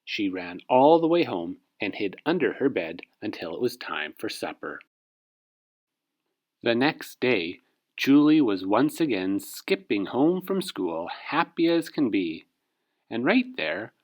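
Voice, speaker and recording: A man narrating a children's storybook aloud in an expressive read-aloud style. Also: on the low side, measured pace. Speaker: storybook reader.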